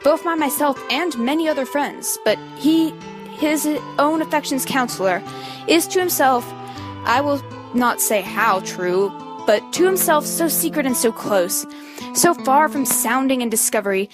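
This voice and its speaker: Fretful noble father voiced by a woman, high-pitched, formal measured verse, amateur classical reading, light music underneath. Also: lively intonation. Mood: worried. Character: worried noble father fretting over his secretive son. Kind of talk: amateur dramatic reading of Shakespeare.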